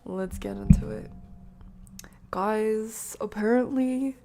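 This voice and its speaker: A woman streaming a game, chatting playfully with viewers and often dropping into hushed whispered asides. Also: on the high side, slow, music in the background. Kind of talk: casual live-stream chat while playing.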